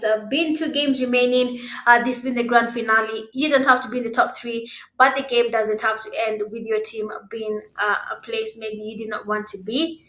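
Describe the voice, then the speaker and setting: A Kenyan woman whose first language is Swahili, giving live esports commentary in a conversational, hesitant way with pauses. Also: fairly high pitch, sharp tone. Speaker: esports caster. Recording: roomy, echoing sound.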